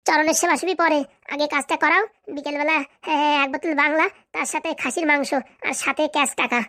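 A scheming village madam from a comedy cartoon, acted by a woman, speaks in a high, piercing pitch. Her rural Bengali speech comes quickly, blunt and commanding, as she bosses around her hired help. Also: steady tone, sharp tone, no room sound, clean studio sound. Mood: blunt, impatient. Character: bossy scheming village madam hiring a corrupt policeman. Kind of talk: comic cartoon dialogue.

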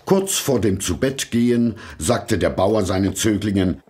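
A man narrating a fairy tale from a script in a measured, expressive storytelling manner. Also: fairly low pitch, slightly rough.

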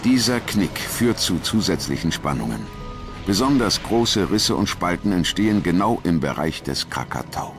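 A man's voice: sits low, animated, normal speed, over music. A man reading scripted documentary narration as the narrator.

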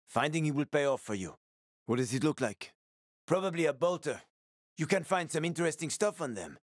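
A man's voice: mid-range voice, dry recording, studio quality, close to an everyday voice. A man playing a streetwise, wisecracking middle-aged survivor and smuggler in a video game, talking in a sly, casual, teasing way. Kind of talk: video game voice acting, conversational.

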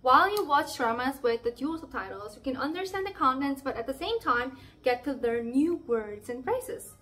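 A woman's voice: sits high, animated, normal speed, fairly bright tone. A young Korean woman in her twenties with a Korean accent, cheerfully teaching language tips straight to the camera. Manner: cheerful and playful, with emphatic exclamations. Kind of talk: upbeat direct-to-camera lesson. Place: indoor room by a plain wall.

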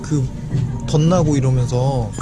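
A man's voice: mid-range voice, a little slow. A man chatting casually with his spouse in an insistent, playful way. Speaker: family vlogger. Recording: loud music behind the voice.

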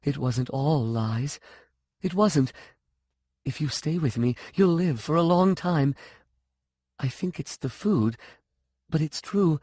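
A man's voice: mid-range voice, takes it slowly, mellow, dry recording, clean studio sound, pitched above the performer's own voice. A man voicing a crazy old sorceress in an audiobook, wheedling and condescending, with a childlike, pleading edge. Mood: frightened, begging. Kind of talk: audiobook character dialogue.

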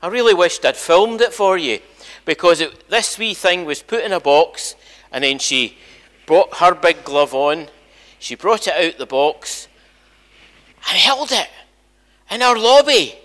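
A man's voice: sits high, expressive, a little slow, pauses often, fairly bright tone. An older Scottish man, a church minister, chatting informally and animatedly to his congregation as he tells stories. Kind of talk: informal all-age church talk, storytelling. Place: large church sanctuary, indoors. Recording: echoey church hall, long reverb.

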